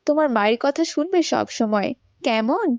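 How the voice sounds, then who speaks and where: A woman voicing a kindly, pious village mother with a gentle, encouraging manner in a cartoon. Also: sits high, animated, moderate pace, no echo. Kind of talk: children's moral cartoon dubbing and narration.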